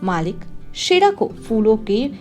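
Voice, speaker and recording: A woman's voice: a little high, sing-song, takes it slowly, darkish tone, soft background music. A woman voicing a deferential male palace soldier in a storybook tale, explaining things respectfully.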